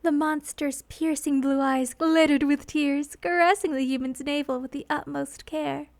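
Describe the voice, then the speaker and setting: A woman narrating a scripted romantic story in an expressive, theatrical storytelling manner. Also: sits high, a little slow, no echo, studio quality. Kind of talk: scripted dramatic fan fiction narration.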